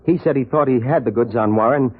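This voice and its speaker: An actor in a 1940s radio drama playing a flustered accused shopkeeper, hesitant and stammering, on a muffled old recording. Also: male voice, medium pitch, brisk, lightly acted. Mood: anxious, flustered. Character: flustered accused shopkeeper on trial, anxious to explain.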